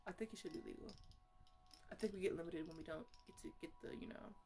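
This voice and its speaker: A woman playing a chatty, easily exasperated botanist who mothers her plants in an improvised ASMR roleplay, talking in a halting, casual, playfully scolding ramble. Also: on the low side, warm tone, soft background music, close to an everyday voice. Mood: playfully indignant.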